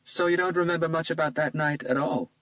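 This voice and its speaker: A man voicing a playful young woman, high and bright. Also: fairly quick, no room sound, close to an everyday voice. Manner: playful, teasing.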